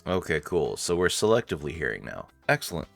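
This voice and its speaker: A man's voice: very deep voice, sing-song, quick pace, creaky. A man hosting a let's-play, reading story narration theatrically with casual, amused asides. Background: faint game music.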